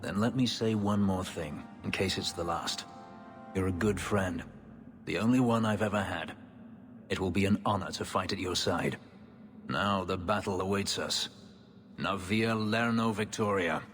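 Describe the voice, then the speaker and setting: Low-voiced solemn warrior. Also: male voice, a little slow, a bit bright. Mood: solemn, sincere. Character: stern battle-hardened warrior, loyal, solemn, sparing with words. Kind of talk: dramatic video game cutscene acting. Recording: long reverb, game music behind.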